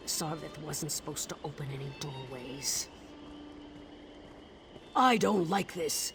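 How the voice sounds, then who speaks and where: A woman playing a stern, cautious soldier in a video game, serious and worried as she gives instructions. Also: sits low. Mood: alarmed. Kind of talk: video game character acting.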